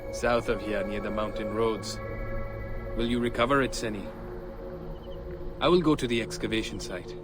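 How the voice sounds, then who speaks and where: An actor playing a driven, scholarly relic seeker of ancient Egypt in a video game, speaking formally and with earnest intensity. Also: male voice, fairly low pitch, normal speed, long pauses, natural voice. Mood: earnest, secretive. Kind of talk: video game cutscene acting. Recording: game music behind the voice.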